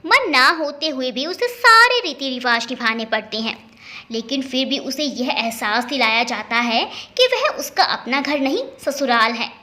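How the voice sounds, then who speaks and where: High, animated woman storyteller. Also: unhurried. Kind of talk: expressive scripted story narration.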